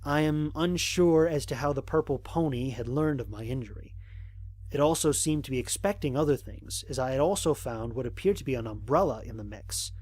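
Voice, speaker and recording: A man playing a curious, methodical space explorer in an audio drama, narrating his mission log in a measured, formal way. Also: medium pitch, darkish tone, no echo, natural voice. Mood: observant, fascinated.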